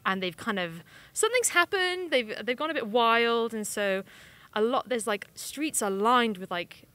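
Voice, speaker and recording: A woman's voice: on the high side, animated, normal speed, fairly bright tone. A young woman giving casual, chatty game impressions in informal, slangy, rambling phrases into a handheld microphone. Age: young adult. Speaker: games journalist giving hands-on impressions. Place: small indoor room at a games convention.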